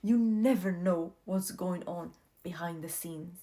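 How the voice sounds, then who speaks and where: A woman's voice: lowish voice, measured pace, mellow. A young woman whose first language is not English, talking casually to camera and giving earnest life advice, often repeating herself for emphasis.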